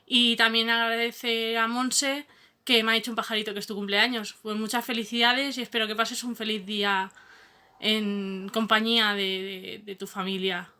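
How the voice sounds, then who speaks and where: A woman with a Spanish accent from Spain records a personal thank-you message. Her voice is bright and forward, with a fairly even melody. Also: on the high side, moderate pace, clean tone. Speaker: volunteer mask distributor.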